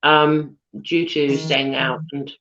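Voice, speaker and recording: A British woman hosting a live chat show on a video call, husky from losing her voice, chatty with lots of ums. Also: rumbling low, flat delivery, slow, fairly bright tone, faint music behind. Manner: chatty, giggly, many ums. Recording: dull lo-fi video call audio.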